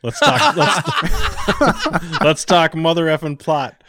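A man's voice: fairly high pitch, big pitch swings, takes it slowly, pushed and bright, dry recording, clean studio sound. A man co-hosting a podcast in casual chat with a jokey way of talking. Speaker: podcast co-host.

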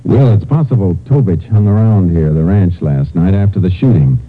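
Casting: a calm, shrewd Texas lawman, very deep-pitched, authoritative and deliberate, 1950s radio drama, muffled old transcription sound. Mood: firm, serious. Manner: deliberate, matter-of-fact, authoritative. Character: calm, shrewd male Texas Ranger investigating a killing. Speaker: actor in the lead role, also narrating.

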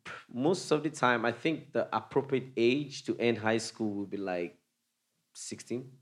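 A man hosts a relaxed chat show, full of easy banter and hype-man openers. His voice sits at an ordinary middle pitch. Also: a little slow. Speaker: host. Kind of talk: casual interview chat.

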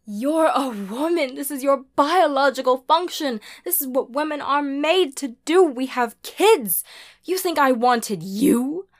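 A woman's voice: sits high, expressive, unhurried, pitched above the performer's own voice. A woman voicing a hysterical, bitter, traditionalist mother in an audio story, insistent, wailing and begging. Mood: desperate, angry.

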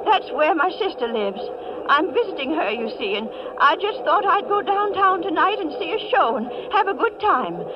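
Sweet, flustered old lady, apologetic, very animated pitch, 1940s radio acting, muffled sound. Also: normal speed, background noise. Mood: fretful, grateful. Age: older. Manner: fretful, apologetic, fluttery.

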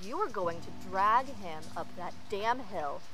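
A woman's voice: mid-range voice, expressive, unhurried, over music, close to an everyday voice. A woman playing an angry, threatening rookie police officer with an exasperated manner in a horror comedy audio drama. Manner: forceful, swearing, exasperated.

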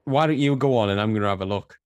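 A man's voice: on the low side, fast talker, a little mellow, clear, resonant tone, no room sound. A man co-hosting a podcast in easygoing casual chat. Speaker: podcast co-host.

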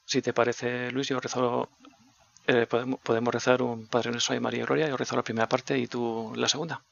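Gravelly man hosting a radio talk show. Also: mid-range voice, flat delivery, fairly quick, fairly bright tone, a little hiss or hum. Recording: dull lo-fi sound.

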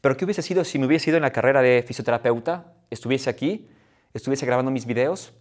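A young man in his twenties, a guest talking quickly and agreeably, often adding "¿sabes?". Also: mid-range voice. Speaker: guest, fitness YouTuber. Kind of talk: conversational interview.

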